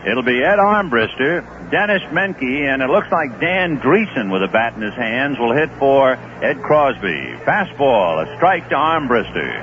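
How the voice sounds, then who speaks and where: A man calling a baseball game live on radio, with relaxed, conversational play-by-play and chatty asides. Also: mid-range voice, measured pace, hardly any pauses. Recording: dull old radio broadcast with noise.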